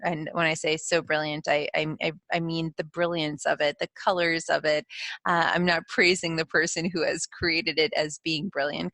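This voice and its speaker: Casting: middle-aged woman from Ukraine, Russian native, lowish voice, earnest teacher who stumbles and restarts, close dry recording. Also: a bit bright, dry recording. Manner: earnest, restarts mid-phrase, asks the viewer direct questions. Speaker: CEO and founder of a positive psychology school. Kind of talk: informal educational talk to camera.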